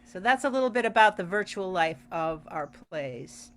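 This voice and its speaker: A woman's voice: lowish voice, animated, normal speed, fairly bright tone. A woman organizer giving an informal announcement on a video call. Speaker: festival organizer. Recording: faint noise on video call.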